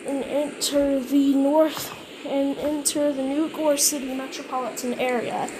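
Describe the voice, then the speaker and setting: A woman with a high voice delivers a mock weather alert at a slow pace. She is halting, often repeating or correcting her phrases as she goes. The amateur recording has clear noise behind her.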